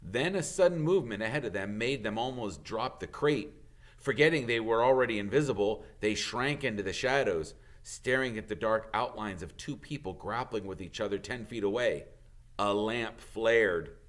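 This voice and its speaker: A man reading a children's novel aloud as a teacher would, in expressive storybook narration. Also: on the low side, normal speed.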